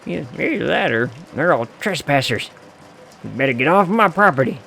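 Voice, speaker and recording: A man voicing a friendly, teasing hobo drifter with a folksy, unhurried way of talking, reading a game character's lines aloud. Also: fairly high pitch, animated, gravelly, bright, forceful tone, slight background noise, heavily acted.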